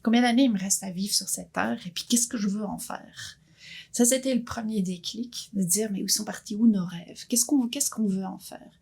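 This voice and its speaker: A woman in her fifties speaks with a gravelly, husky texture. She talks earnestly and thoughtfully, often restarting her sentences as she reflects on her path as a guest. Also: mid-range voice, moderate pace, darkish tone. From Belgium. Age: 50s. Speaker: guest entrepreneur. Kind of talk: reflective personal interview.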